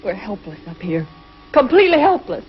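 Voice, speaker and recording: An actress playing an anxious, pleading spaceship heroine in 1950s TV science fiction, melodramatic in delivery. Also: female voice, medium pitch, sing-song, a little fast, close to an everyday voice. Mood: desperate. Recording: muffled 1950s TV soundtrack.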